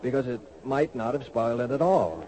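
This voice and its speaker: An actor playing a courteous, scheming professor in a radio soap opera, speaking formally and deliberately. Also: male voice, medium pitch, lively intonation, mellow, natural voice, rough recording. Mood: measured, scheming. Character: suave scheming male professor, courtly and measured. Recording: old radio recording, organ music behind.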